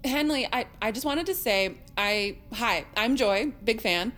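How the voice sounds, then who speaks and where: Teen fangirl, highish voice, gushing and sincere, able to snap; audio drama comedy. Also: animated, a little slow, fairly bright tone. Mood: starstruck. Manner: gushing, earnest. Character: starstruck teenage fangirl, earnest, gushing, quick to snap.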